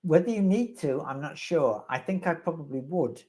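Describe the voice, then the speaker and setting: A man's voice: on the high side, animated, normal speed, mellow. A man answering questions as the resident expert in a live webinar, rambling and wry, with self-deprecating humor.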